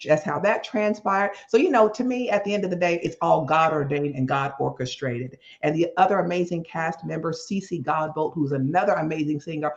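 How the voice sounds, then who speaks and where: A woman's voice: low-pitched, a little fast, few pauses. Effusive woman guest, slightly muffled recording. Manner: effusive, exclamatory, devout. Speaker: playwright, interview guest. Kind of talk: unscripted interview storytelling.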